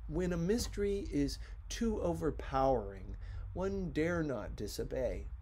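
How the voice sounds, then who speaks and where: A male narrator reads a storybook with great expression. His voice sits fairly high and has a husky grain, and it rises and falls widely through deliberate, dramatic phrases. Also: expressive, mellow, rough recording. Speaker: audiobook narrator.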